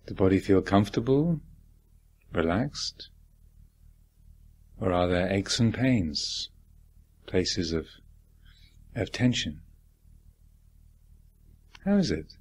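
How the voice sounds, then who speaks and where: Low-voiced man guiding meditation, very unhurried. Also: lively intonation, husky. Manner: gentle reflective questioning, deliberate phrasing with long pauses. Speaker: meditation teacher. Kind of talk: guided meditation instruction.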